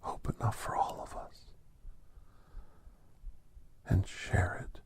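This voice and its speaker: A man playing a gentle, reflective doctor, telling a holiday story as ASMR in a breathy, hushed, gravelly whisper and short halting phrases. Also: natural voice. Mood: kindly, hushed.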